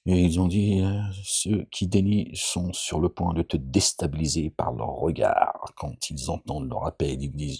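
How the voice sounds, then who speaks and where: Middle-aged male commentator: deep dark rasp, meandering preachy chat that keeps checking in with his audience. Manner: digressive, asks "d'accord?" often, jokey asides. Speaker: religious commentator. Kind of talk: informal Quran commentary, rambling.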